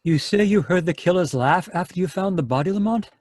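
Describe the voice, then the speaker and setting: A man's voice: high voice, fairly quick, a little mellow, no room sound, studio quality. A man acting a polite, flustered and fearful sanitarium doctor in an old-time radio drama.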